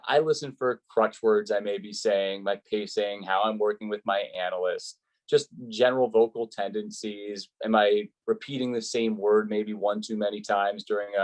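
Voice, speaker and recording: Guy announcer being interviewed, kinda low voice, talks things through clearly. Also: poor audio. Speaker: sports play-by-play announcer, interview guest. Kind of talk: conversational interview answers.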